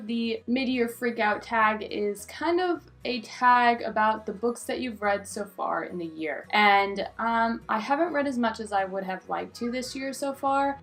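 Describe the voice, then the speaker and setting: A woman's voice: fairly high pitch, a bit bright. Chatty woman vlogger over background music. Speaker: book vlogger, host. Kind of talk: casual chatty video talk to viewers.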